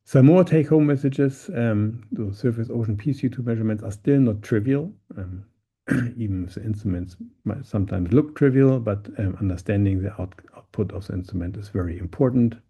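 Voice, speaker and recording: A middle-aged man with a background presents science in a very dark, mellow voice. There is a lot of fry and husk in it. He speaks haltingly, often doubling back on his words as he explains. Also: fairly low pitch. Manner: halting, searching for words, repeating himself.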